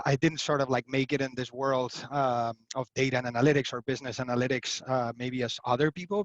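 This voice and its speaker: A man's voice: mid-range voice, no echo, dull audio. A man in his thirties from Spain, chatting as an interview guest over a video call with lots of fillers and restarts. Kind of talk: casual interview answers.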